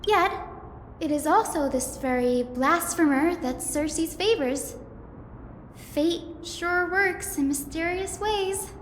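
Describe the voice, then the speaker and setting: High-voiced gentle fantasy priestess in echo. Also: female voice, slow pace, slight background noise. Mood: pensive, curious. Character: gentle, thoughtful young priestess heroine in a fantasy game. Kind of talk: scripted game dialogue acting. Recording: echoey reverberant hall, music underneath.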